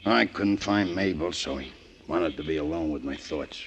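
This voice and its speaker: An actor playing a slow-witted, hot-tempered young boxer with a rough voice and a working-class American accent, in 1940s radio crime drama style. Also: male voice, very deep voice, slight background noise. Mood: defensive. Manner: slow-witted, ungrammatical tough talk. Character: dim, hot-tempered, lovesick young male prizefighter. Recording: old 1940s radio recording.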